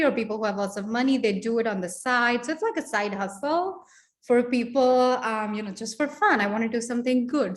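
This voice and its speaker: A woman from India, a social work researcher answering on a panel in a reflective, chatty way, full of "like" and "you know". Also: on the high side.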